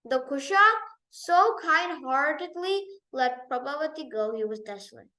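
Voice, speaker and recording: A woman's voice: high voice, measured pace, fairly bright tone, echoey room. A woman narrating a story as a read-aloud, now and then stumbling over words and repeating them.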